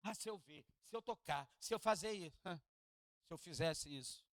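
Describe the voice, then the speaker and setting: Rio pastor guy preaching, kinda high voice, goes quiet then loud, jokes w the crowd, dry close sound. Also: moderate pace, dry recording. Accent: Carioca Portuguese. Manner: swings from hushed, low asides to loud, high preaching peaks; jokes with the congregation and asks them to repeat lines. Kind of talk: sermon. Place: church hall.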